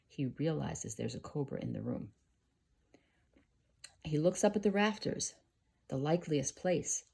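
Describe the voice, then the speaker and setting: A woman who works as a teacher reads a story aloud in an expressive, explanatory manner. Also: sits low, creaky, husky. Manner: expressive, explanatory, pausing to gloss words. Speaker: teacher reading aloud for students. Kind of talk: scripted storybook read-aloud.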